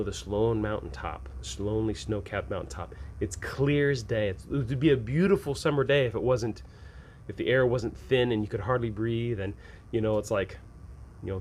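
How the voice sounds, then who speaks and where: An American man giving casual, unscripted advice as a game master show host, in a rambling, conversational way. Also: lowish voice. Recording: clearly noisy ambient background.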